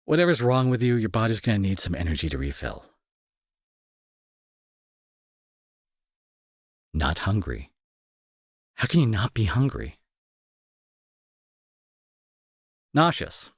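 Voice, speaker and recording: Husky, sarcastic young bully played by a man. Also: lowish voice, sing-song, moderate pace, long pauses, no echo, studio quality, exaggerated voice. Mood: worried, guarded. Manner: sarcastic, exasperated, sighing. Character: gruff young male bully, secretly worried and protective. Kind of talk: intimate audio roleplay acting, addressed to listener.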